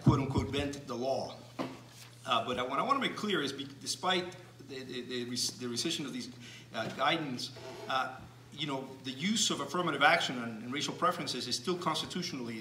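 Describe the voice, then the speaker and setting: A man born in Cuba giving a measured lecture to an audience, often restarting phrases and pausing with fillers. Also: mid-range voice, bright, forceful tone, echoey room, recorded from a distance, slight background noise. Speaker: speaker giving a talk.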